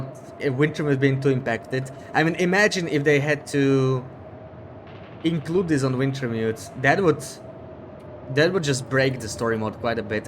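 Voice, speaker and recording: A man streams a game and chats casually with his viewers. His voice is mid-pitched and easygoing. He thinks aloud into a desk mic and often restarts his sentences. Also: slight background noise. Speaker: live streamer. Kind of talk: casual gameplay chat, reading viewer comments.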